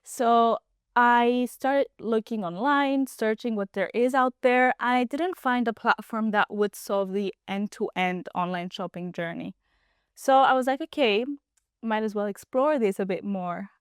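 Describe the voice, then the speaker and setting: A woman's voice: a little high, measured pace, clear, resonant tone, no room sound, studio quality. A woman in her twenties from Cyprus, an app founder chatting casually as an interview guest and laughing easily. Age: 20s.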